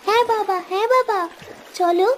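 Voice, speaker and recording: A woman's voice: very high voice, normal speed. A woman voicing an eager, trusting little village girl in a cartoon, pleading in a childlike way. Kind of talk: Bengali cartoon voice acting. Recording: noisy ambience behind the voice.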